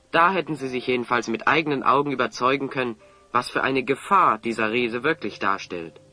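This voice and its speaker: Righteous audio-drama hero, formal, sardonic, moralizing, with a sharp, forceful mid-range voice. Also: male voice, mid-range voice. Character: upright, reproachful male hero, stern but merciful. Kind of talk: German audio drama acting.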